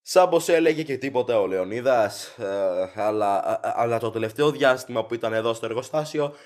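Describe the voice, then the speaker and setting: A man's voice: mid-range voice, darkish tone, lightly acted. A man playing a courteous, chatty factory director in an audio drama, formal, rambling and eager to please. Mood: polite, explanatory.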